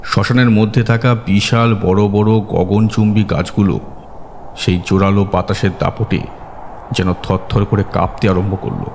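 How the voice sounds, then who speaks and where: A man's voice: on the low side, steady tone, moderate pace, darkish tone, a little hiss or hum. A man reading a scripted horror story as a dramatic narrator. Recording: long reverb, music bed behind.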